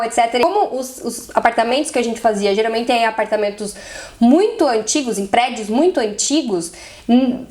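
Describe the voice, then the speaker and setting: A woman's voice: on the high side, darkish tone. A young adult woman with a Brazilian Portuguese accent, talking casually to camera and linking her thoughts with easy connecting words. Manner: chatty, strings thoughts with "e aí". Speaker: vlogger.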